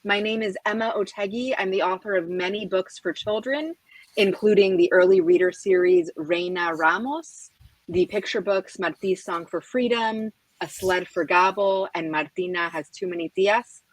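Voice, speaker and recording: A woman author moderating a panel, introducing speakers and asking questions in a clear, orderly way. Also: mid-range voice, expressive, normal speed, no echo, rough recording. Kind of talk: hosting a panel discussion.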